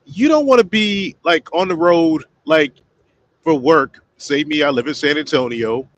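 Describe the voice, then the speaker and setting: A man hosting a casual livestream. He rambles, talks straight to his viewers as "y'all" and gives shout-outs along the way. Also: fairly high pitch, measured pace, slight background noise. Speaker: livestream host.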